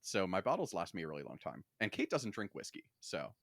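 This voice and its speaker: Male podcast host, animated and bright, low-pitched, dry close sound. Wry, sweary, casual. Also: quick pace, creaky, dry recording. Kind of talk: casual banter.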